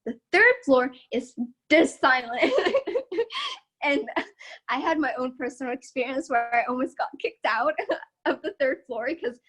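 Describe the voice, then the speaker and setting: A high-pitched young woman speaking from home, guiding listeners through a presentation with a chatty, upbeat delivery. Her voice carries loudly, and she breaks into laughter often between points. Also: sing-song, a little slow, pauses often. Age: young adult. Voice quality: loud voice. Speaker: student body president giving a campus tour. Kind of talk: casual narrated slide tour.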